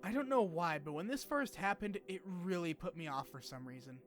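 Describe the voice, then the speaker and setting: A man's voice: high-pitched, lively intonation, brisk. A man narrating a scripted video essay about a game in an informal, sweary, opinionated way. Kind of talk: scripted game retrospective narration with casual asides. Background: background music.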